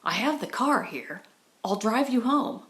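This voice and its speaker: A woman's voice: a little high, expressive, a little slow, fairly bright tone. Woman voicing a persistent, complaining teenage girl. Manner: clear, rather complaining. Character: persistent, thwarted teenage girl chasing a boy.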